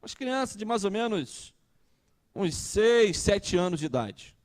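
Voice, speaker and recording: A man's voice: high voice, big pitch swings, unhurried. A man, preaching a conversational sermon and asking the congregation questions. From Brazil. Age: 30s. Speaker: pastor.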